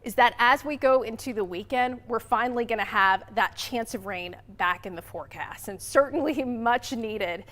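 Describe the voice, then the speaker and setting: A woman presents the weather on local TV in a chatty, upbeat way, joking at her own expense between forecast points. Her voice sits a little high, and she comes through clearly on a studio broadcast mic. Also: normal speed, few pauses, a bit bright. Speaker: TV meteorologist. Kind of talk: TV weather forecast with casual banter.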